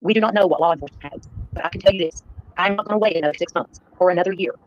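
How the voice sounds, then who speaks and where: A woman hosting a livestream, addressing her viewers in an earnest, halting way. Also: on the low side. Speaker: live stream host. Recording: dull, lo-fi livestream playback.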